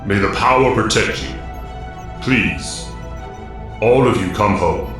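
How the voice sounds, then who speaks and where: A man playing a solemn, wise mentor who commands young heroes in a superhero audio drama, speaking formally and gravely. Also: lowish voice, slow. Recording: very echoey voice over clear music.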